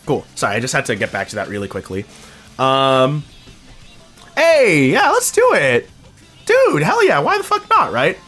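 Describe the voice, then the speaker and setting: A young man streaming, talking casually to his chat, excitable and swearing freely. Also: on the high side, normal speed, soft background music.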